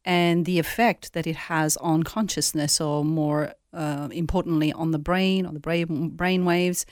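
Woman, Italian accent, low voice, soft-spoken, reflective, radio studio guest. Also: steady tone, measured pace, no echo. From Italy. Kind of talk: radio interview conversation.

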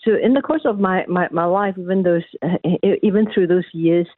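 Low-voiced woman from malaysia, tinny phone-line sound, lots of um and restarts. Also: a little fast, no echo. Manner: hesitant, many fillers and restarts. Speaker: interview guest, college academic. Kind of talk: interview answers.

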